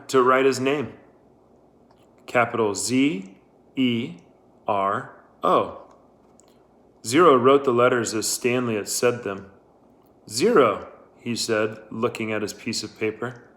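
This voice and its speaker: A man's voice: medium pitch, fairly bright tone. A man reading a novel aloud as its narrator. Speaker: audiobook-style narrator.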